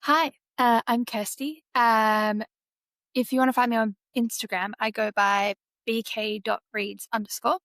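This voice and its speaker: Australian woman, gravelly voice, dry close sound, chatty podcast co-host. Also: fairly high pitch, fairly even intonation, unhurried, a bit bright, dry recording. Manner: chatty, many fillers, giggly. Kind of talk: casual podcast chat. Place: travelling, on a video call from abroad.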